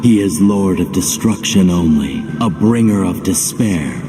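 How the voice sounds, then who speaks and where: An actor voicing a solemn, commanding, disembodied guide in a video game, grave and portentous. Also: male voice, sits low, expressive, unhurried. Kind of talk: video game cutscene acting. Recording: reverberant hall echo, music behind.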